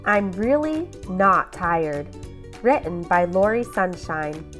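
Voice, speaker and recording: A woman narrating a children's bedtime story aloud in an expressive, deliberate storytelling manner. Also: lowish voice, faint music behind.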